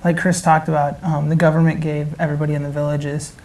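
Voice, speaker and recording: A woman's voice: deep voice, flat delivery, fast, darkish tone, low-quality recording. A woman giving casual, enthusiastic interview answers as a member of a charity team.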